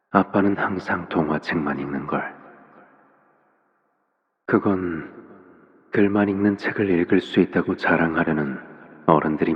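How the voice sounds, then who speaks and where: An actor playing a soft-spoken, controlling father in a video game, speaking in a gentle, deliberate, coaxing way with quiet menace. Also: deep voice, husky, highs cut off. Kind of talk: scripted game voice acting.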